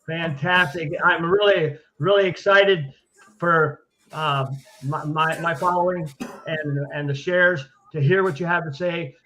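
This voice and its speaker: A man's voice: a little high, steady tone, unhurried, pushed and bright, a little hiss or hum, poor audio. A man hosting a live online show who introduces his guest hesitantly, with many restarts. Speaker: show host.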